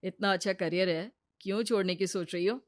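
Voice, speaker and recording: A performer voicing a mild, kindly family man in a Hindi cartoon story, soft-spoken and reasoning. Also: medium pitch, fairly even intonation, fairly quick, no room sound, studio quality, lightly acted. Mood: calm reassurance.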